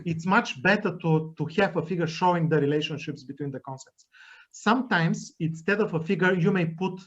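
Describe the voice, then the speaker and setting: A man's voice: high voice, brisk, fairly bright tone, dull audio. A man whose first language is Bulgarian, speaking at an academic workshop as a journal editor, hesitant with many fillers and restarts. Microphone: video call.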